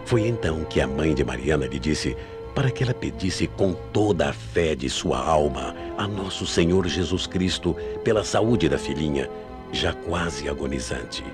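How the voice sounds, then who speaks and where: A man's voice: extremely low pitch, expressive, measured pace, music in the background. A man with a Brazilian accent giving solemn, suspenseful scripted narration for radio mystery tales.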